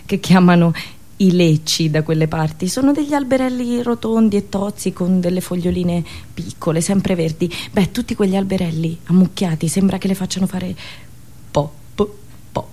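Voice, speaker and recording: A woman with a rural central Italian accent telling a theatrical monologue, expressive, with dramatic pauses, repetitions and slips into rustic dialect. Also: lowish voice. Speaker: storyteller and radio show host.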